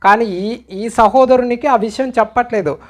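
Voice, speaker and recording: A man's voice: very high voice, normal speed. Male commentator, speaking straight to viewers, admonishing, full of pointed questions. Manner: admonishing, questioning, repeatedly urging listeners to reflect.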